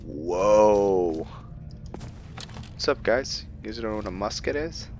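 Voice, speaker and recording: A man with a very deep voice talks through his gameplay in a casual commentary style. He thinks out loud and sounds curious, sometimes going quiet and hushed. A faint noise sits under the voice. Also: lively intonation, takes it slowly. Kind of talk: casual let's-play commentary.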